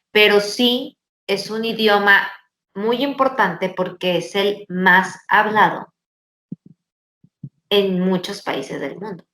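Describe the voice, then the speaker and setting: A woman's voice: mid-range voice, reverberant, rough recording. A woman, hosting and telling stories in a casual chat with many fillers. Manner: animated storytelling, many fillers. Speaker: podcast host.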